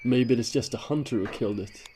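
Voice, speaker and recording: An actor playing a young, uneasy lad in a fantasy audio drama. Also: male voice, medium pitch, fast talker, mellow, husky, lightly acted. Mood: curious, dismissive.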